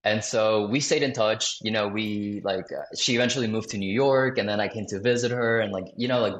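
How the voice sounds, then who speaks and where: A man's voice: on the low side, a little fast, roomy sound. A young man from South Florida, a sales director, chatting casually as a podcast guest and rambling with lots of fillers and restarts. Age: young adult. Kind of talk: casual interview talk.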